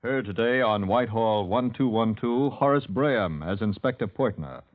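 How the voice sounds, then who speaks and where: A man's voice: lowish voice, moderate pace. A man reading scripted announcements as a 1950s radio announcer. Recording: tinny muffled 1950s radio recording.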